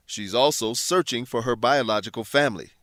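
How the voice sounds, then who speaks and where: A man narrating an English-learning radio drama as host, scripted, clear, instructive and welcoming. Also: mid-range voice, brisk, a bit bright, no room sound, clean studio sound.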